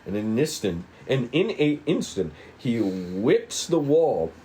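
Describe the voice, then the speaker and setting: A man reading a script's stage directions at a table read, casual and halting, with asides. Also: mid-range voice, very animated, dark tone, a little hiss or hum, low-quality recording. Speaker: script reader.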